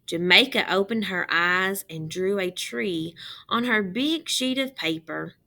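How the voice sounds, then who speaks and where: A woman reading a picture book aloud as a teacher, pausing to explain and ask young children questions. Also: medium pitch, vocal fry, pushed and bright.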